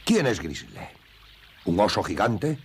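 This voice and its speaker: A man playing a menacing, gruff bear hunter in a Spanish cartoon dub, with a bullying way of talking. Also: low-pitched, huge pitch swings, slow, heavily acted. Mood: threatening. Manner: bullying, forceful. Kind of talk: animated cartoon dub acting.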